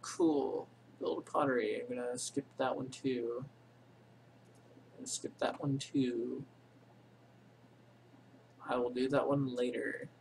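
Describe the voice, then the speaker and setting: A man's voice: medium pitch, flat delivery, slow pace, gravelly, long pauses, darkish tone, slight background noise, low-quality recording. Rambling man narrating a game tutorial. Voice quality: says he has a boring voice. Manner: rambling, unscripted, many ums and self-corrections. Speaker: game tutorial channel host. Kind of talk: casual screen-recorded game tutorial.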